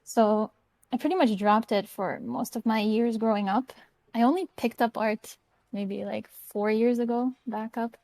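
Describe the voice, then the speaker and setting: A woman's voice: fairly high pitch, fairly even intonation, a little slow, a little mellow, dry recording. A woman from Croatia, a guest artist answering questions in an easygoing, chatty way. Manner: easygoing, chatty, light laughs. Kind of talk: casual interview answers.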